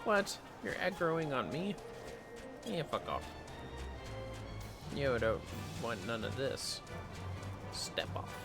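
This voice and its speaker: A man's voice: mid-range voice, big pitch swings, slow pace, pauses often. A man doing casual, playful gaming commentary as a livestreamer, swearing freely. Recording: game music behind the voice. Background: game music.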